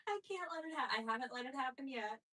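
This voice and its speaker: A woman's voice: high-pitched, expressive, fast talker, pushed and bright, distant mic, a little hiss or hum. A woman who grew up speaking Russian, chatting candidly on a podcast as a comedian host, swearing and laughing often. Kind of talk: casual podcast chat.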